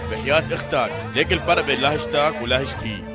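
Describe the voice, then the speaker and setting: A man's voice: mid-range voice, fast talker, loud music behind the voice, natural voice. A man playing a boastful young gang boss who swaggers and reels off rhyming boasts. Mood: swaggering.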